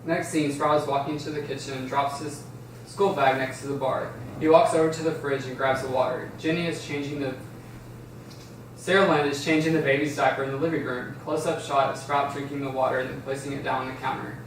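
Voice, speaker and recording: A man's voice: mid-range voice, steady tone, brisk. A man reading scripted stage directions aloud at a table read, sometimes stumbling and restarting. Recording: very echoey room, distant mic, background hiss.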